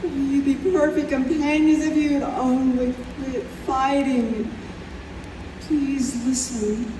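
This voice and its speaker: Woman playing frail, very slow ailing mother. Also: high-pitched, fairly even intonation. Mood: tender, dying. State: coughing, weak. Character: ailing, tender-hearted mother, wise and plain-spoken. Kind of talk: old time radio acting. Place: convention hall stage. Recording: echoey hall, distant, noisy.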